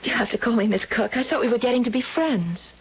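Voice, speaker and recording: An actress playing a kind, earnest, trusting young working woman in a 1970s radio drama, gentle and eager in manner. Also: fairly high pitch, expressive, fast talker, slightly rough, full character voice. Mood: apologetic, tender. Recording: muffled old radio broadcast with hiss.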